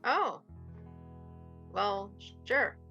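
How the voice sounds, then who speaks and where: A woman's voice: mid-range voice, sing-song, extremely slow, bright, forceful tone, heavily acted. A woman playing a knowing, gossipy western saloon woman in a radio drama, casual and pointed. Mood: knowing, teasing. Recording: slightly dull recording, guitar music behind.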